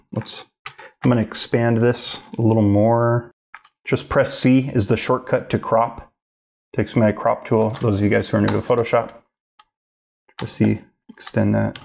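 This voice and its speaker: Low gravelly man teaching drawing. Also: a little mellow. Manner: casual, rambling, thinks aloud while working. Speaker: art instructor. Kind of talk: live drawing demo narration. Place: home studio office.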